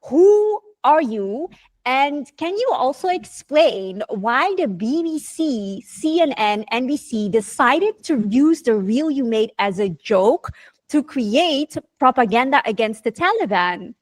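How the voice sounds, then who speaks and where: A woman with a fairly high voice hosts a conversational interview. She speaks informally and with emphasis, often addressing the audience directly, and the recording is close and dry. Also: animated, takes it slowly, no room sound. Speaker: interviewer and host.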